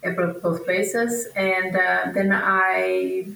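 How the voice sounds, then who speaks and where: Low-voiced, creaky woman from Denmark. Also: little pitch movement, drawn-out words, sharp tone. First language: Danish. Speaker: podcast guest, researcher and academic. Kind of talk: conversational interview answers. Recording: roomy echo, distant from microphone.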